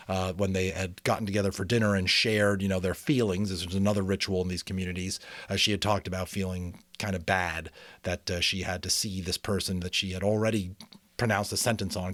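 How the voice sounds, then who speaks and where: Low-pitched man, expressive amateur narrator, dry sound. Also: dry recording. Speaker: amateur audiobook narrator and channel host. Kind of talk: dramatic storybook reading with casual asides.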